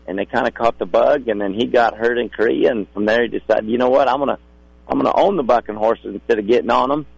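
A man's voice: mid-range voice, creaky, darkish tone, no room sound, low-quality recording. A middle-aged man with a polite, folksy way of talking, a guest in a casual radio interview. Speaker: rodeo contractor guest.

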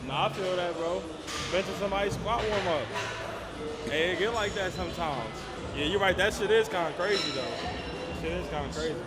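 A man's voice: high-pitched, fairly quick. A man bantering casually in a gym with laid-back slang and trash talk. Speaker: athlete. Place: large indoor gym. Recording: loud music over the voice. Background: loud gym music.

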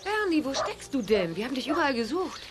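A woman's voice: high voice, sing-song, fast talker, over loud music. An actress playing a strict, easily exasperated mother in a children's radio play, scolding and overbearing. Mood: reproachful.

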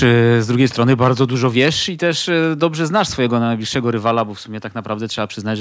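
A man's voice: mid-range voice, dry recording. A male interviewer asking long, rambling questions with many fillers.

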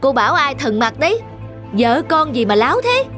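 A woman's voice: sits high, sing-song, normal speed. A woman voicing a vain, haughty, poor male scholar in a cartoon folktale. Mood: haughty, threatening. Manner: boastful, haughty, demanding. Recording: music behind the voice.

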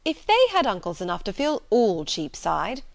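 A woman reading a period novel, voicing a good-natured, gallant young gentleman in an earnest, courteous way. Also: mid-range voice, huge pitch swings, moderate pace, creaky. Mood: earnest defence. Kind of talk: audiobook character dialogue from a period novel.

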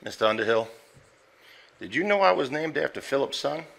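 A man's voice: medium pitch, animated, a little fast, creaky, fairly bright tone. A man playing a worried, easily exasperated family man in a stage drama, emphatic, with a Southern US accent. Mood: polite, concerned. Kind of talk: live stage acting. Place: theater stage before audience.

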